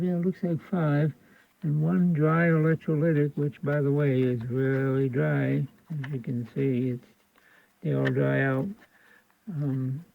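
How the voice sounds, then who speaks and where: Creaky-voiced American man, phone-like sound. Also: fairly high pitch, no room sound. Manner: folksy, colloquial, thinking aloud. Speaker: host of a radio repair video. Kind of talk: unscripted hands-on repair commentary.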